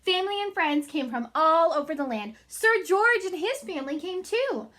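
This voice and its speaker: A woman storyteller with a high, bright voice. She addresses young listeners in an animated, emphatic read-aloud style with chatty asides. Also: moderate pace. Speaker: storyteller reading to children.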